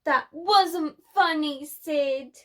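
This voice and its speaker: A woman's voice: sits high, drawn-out words, pushed and bright, voice pushed up, exaggerated voice. A woman voicing an indignant male dinosaur friend in a children's storybook, with an emphatic, scolding, sing-song delivery. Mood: indignant, hurt.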